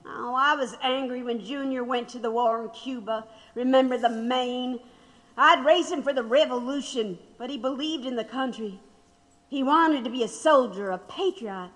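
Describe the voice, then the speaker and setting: A woman playing a proud radical widow in an audio drama, measured, reflective and wry. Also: high-pitched, a bit bright. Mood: reflective, regretful. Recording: reverberant, hall-like sound.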